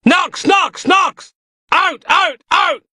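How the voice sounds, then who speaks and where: A furious mob bellows chants and threats at a shrill, screaming pitch. The shouting is loud and forceful, and it pushes far above his usual voice. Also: very slow, dry recording, clean studio sound, exaggerated voice. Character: angry chanting mob, men and women.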